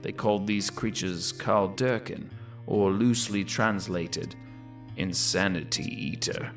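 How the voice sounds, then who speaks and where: Learned letter writer, low voice, formal, ominous, dramatic reading, clear music behind. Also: male voice, unhurried. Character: scholarly colleague voicing his ominous warning letter. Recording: distant voice under clear music.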